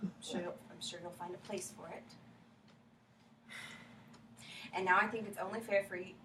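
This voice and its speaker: A woman's voice: fairly low pitch, fairly even intonation, quick pace, pushed and bright. A woman playing a hurt, insistent girlfriend ending a romance in a staged play reading, emphatic and repeating herself. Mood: subdued, insistent. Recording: echoey room, distant mic, faint noise.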